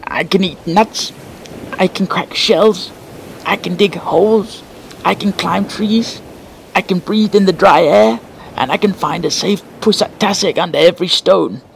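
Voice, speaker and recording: A man voicing a proud, stubborn crab who bargains slowly and turns gleeful. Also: sits high, gravelly, slight background noise, voice pushed up, rough recording. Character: proud giant crab, stubborn, later tiny.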